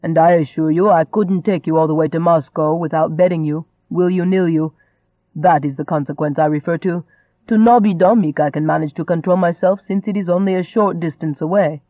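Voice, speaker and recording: A man's voice: a little high, fairly even intonation, fairly quick, no room sound, narrow, tinny audio, close to an everyday voice. A man voicing a charming young nobleman in an audiobook, a teasing and cajoling rogue who stays unruffled. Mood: amused, coaxing.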